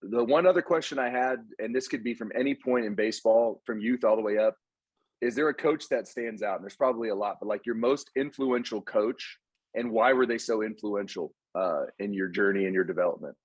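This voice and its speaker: A man with a low, husky voice asks his question in a loose, informal way. He repeats words as he gets started. The sound is dry and close, with no room around it. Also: a little fast, no room sound. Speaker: podcast co-host. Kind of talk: casual interview question.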